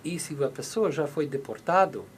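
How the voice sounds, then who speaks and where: A man's voice: on the high side, expressive, normal speed, slight background noise. A man, a lawyer on a radio call-in show, explaining patiently and acting out short exchanges, with many restarts. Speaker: lawyer answering listener questions. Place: radio studio in the US.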